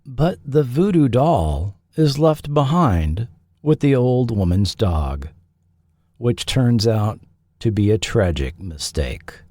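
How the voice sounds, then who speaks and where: Dry studio man narrating, slow and expressive. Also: mid-range voice, no echo. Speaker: podcast host and narrator. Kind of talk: scripted narration of TV history.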